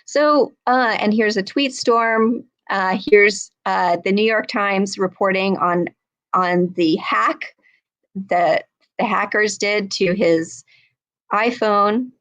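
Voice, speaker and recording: A woman speaks over a video call in an earnest, halting way, filling pauses with many ums and restarting her sentences. Her voice sits at a medium pitch. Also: a bit bright, rough recording. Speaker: speaker at an online human rights event.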